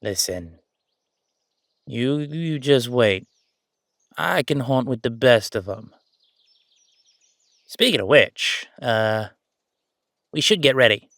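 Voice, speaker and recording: A man's voice: medium pitch, slow, long pauses, no echo. Man playing a playful, chatty ghost roommate, dry close sound. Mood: playful, excited. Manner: excitable, chatty, joking, hesitating conversationally. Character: friendly male ghost roommate, playful, mischievous, chatty jokester. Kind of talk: casual roleplay audio drama.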